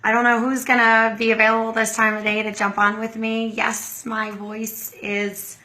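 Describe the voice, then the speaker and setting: A hoarse woman hosts a casual livestream, rambling warmly to viewers and stopping to greet them by name. Her voice sits a little high and bright under the strain of the hoarseness. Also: monotone, moderate pace. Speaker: livestream host.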